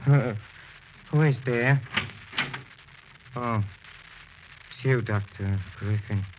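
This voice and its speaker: A man's voice: medium pitch, very slow. An actor playing a grief-stricken, obsessed widower pleading melodramatically for his dead wife in a 1940s radio horror drama. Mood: haunted, dazed. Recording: muffled, noisy old radio broadcast.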